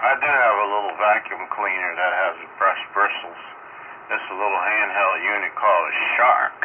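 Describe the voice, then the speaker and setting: Folksy low-voiced man on crackly radio. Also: normal speed. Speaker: amateur radio operator. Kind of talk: casual radio round-table chat. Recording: tinny, noisy shortwave radio transmission. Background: radio static.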